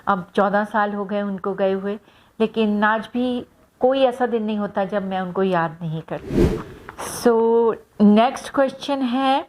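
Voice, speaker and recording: A middle-aged woman from Punjab, an actress answering fan questions in a casual, reflective way with many pauses and fillers. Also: medium pitch, a little mellow, a little hiss or hum, rough recording. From Hoshiarpur, Punjab, India. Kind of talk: casual personal talk to camera.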